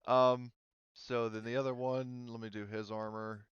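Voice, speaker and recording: A man running a tabletop role-playing game as game master, halting and thinking aloud as he talks. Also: medium pitch, little pitch movement, clean tone, dry recording, highs cut off.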